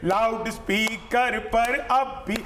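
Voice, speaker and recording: A man's voice: extremely high pitch, fairly even intonation, slow pace, pushed and bright. A man reciting rhythmic verse in a declamatory chant, stretching line endings and repeating lines. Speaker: comic poet reciting at a poetry gathering. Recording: roomy echo, some background noise.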